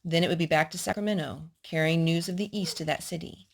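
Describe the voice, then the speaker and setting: A woman's voice: low voice, fairly even intonation, fast, vocal fry. A woman hosting a children's storytime, reading picture books aloud and asking little prompting questions in a child-directed way.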